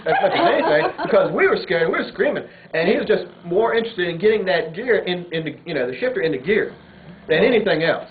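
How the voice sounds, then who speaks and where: A person chatting casually and excitedly. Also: mid-range voice, fast. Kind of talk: casual chat. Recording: muffled phone-like audio, loud background noise.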